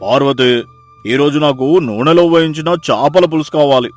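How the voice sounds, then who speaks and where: A man's voice: fairly high pitch, normal speed, faint music behind, natural voice. A man voicing a greedy, pompous, bossy city brother-in-law in a moral story. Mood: demanding.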